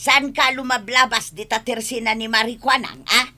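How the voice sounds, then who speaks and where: High-pitched nagging Filipino mother, acted by a woman. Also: steady tone, normal speed, music in the background, close to an everyday voice. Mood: scolding. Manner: nagging, insistent. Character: bossy, scolding village mother. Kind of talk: comedic Ilocano radio drama acting. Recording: clear background noise, clicks.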